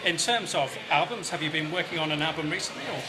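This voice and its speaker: A man's voice: fairly high pitch, fast talker, crowd noise. A man, a radio interviewer, asking polite, brief questions and filling gaps with short acknowledgements. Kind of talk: casual interview chat. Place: backstage at a music venue.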